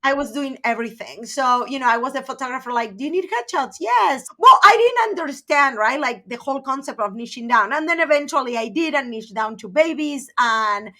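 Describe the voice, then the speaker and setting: A middle-aged woman from Argentina, a podcast guest telling her career story in a chatty, animated way full of fillers. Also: sits high, brisk, hardly any pauses. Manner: animated, chatty, uses many fillers like "like" and "right?". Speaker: podcast guest, personal branding coach. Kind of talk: conversational interview storytelling.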